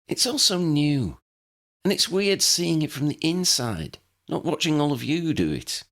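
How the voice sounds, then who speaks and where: Man playing young girl, storybook, fretful, anxious, lifted pitch, animated. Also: measured pace, heavily acted. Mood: fretting. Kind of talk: storybook character acting.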